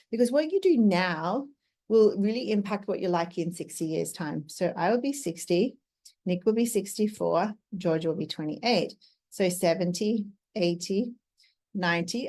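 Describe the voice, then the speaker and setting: A woman's voice: mid-range voice, darkish tone. Chatty woman turning fifty. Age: 50s. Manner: chatty, explains step by step, often adds "right?". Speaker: Ayurveda coach. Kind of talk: casual talk to camera.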